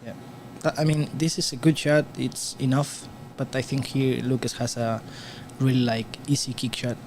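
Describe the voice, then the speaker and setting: A young man from Spain with a Spanish accent, a guest player commenting on pool in halting, restarting English from a tournament booth. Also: mid-range voice, level tone, a little mellow, slight background noise. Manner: halting non-native English with frequent restarts.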